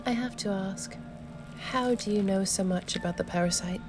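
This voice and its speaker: A woman's voice: medium pitch, normal speed, over music, lightly acted. A woman voicing a direct, inquisitive adventurer heroine in a fantasy game, plain-spoken and composed. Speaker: amateur voice actor. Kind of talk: scripted fantasy game dialogue.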